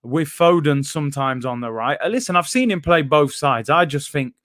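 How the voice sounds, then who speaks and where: A man's voice: fairly high pitch, expressive, moderate pace, a bit bright, no echo, clean studio sound. A young man hosting a casual live stream, chatting loosely and reading out viewer comments. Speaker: watchalong host reading chat.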